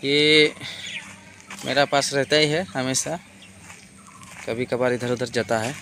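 A man's voice: medium pitch, fairly even intonation, slow pace, pushed and bright. A man in his thirties with an Indian accent, chatting casually and informally to viewers as a vlogger, recorded on a phone held at arm's length. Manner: informal, addresses viewers as friends. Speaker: vlogger and factory worker. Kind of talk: casual vlog chat to camera. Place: tea factory halls and yard. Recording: noisy factory ambience, phone recording.

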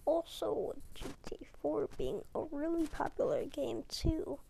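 A young girl talking in a hushed, often whispered voice late at night at home, with halting restarts. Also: high-pitched, creaky, slight background noise. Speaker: video uploader. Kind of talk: casual talk over a screen recording. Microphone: tablet mic.

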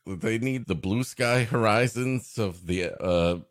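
A man's voice: lowish voice, takes it slowly, no echo, studio quality. A man co-hosting a comedy podcast, improvising rambling banter in a mock-earnest manner. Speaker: podcast co-host.

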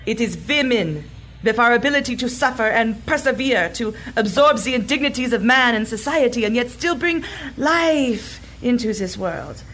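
An actress playing a grandiose, imperious Nazi villainess sorceress in a pulp radio drama. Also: female voice, fairly high pitch, lively intonation, moderate pace, slight background noise. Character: megalomaniac Nazi villainess sorceress chanting to Egyptian gods. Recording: loud music under the voice.

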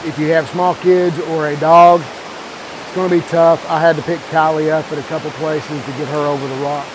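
A man in his forties chats easily while he hikes, his voice a touch higher than most men's. He holds the phone at arm's length on a wooded trail. The sound is outdoors, with clear rushing water and wind noise behind him. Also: level tone. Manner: folksy, easygoing, talks while walking. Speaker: hiking vlog host. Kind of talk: casual trail vlog. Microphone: phone camera at arm's length.

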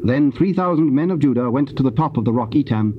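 A man giving a solemn, measured scripted narration of scripture. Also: mid-range voice, lively intonation. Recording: muffled, noisy old cassette transfer.

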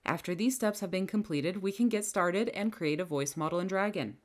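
A woman giving a clear, step-by-step software tutorial over a screen recording, speaking into a close microphone. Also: fairly low pitch, brisk. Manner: clear, step-by-step instructional delivery. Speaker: software trainer. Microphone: headset or bench microphone.

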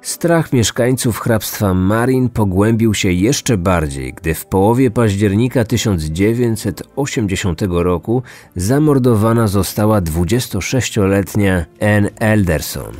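A man with a low voice delivers scripted true-crime narration as a podcast host. Music plays clearly underneath him. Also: measured pace, darkish tone. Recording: music bed behind the voice.

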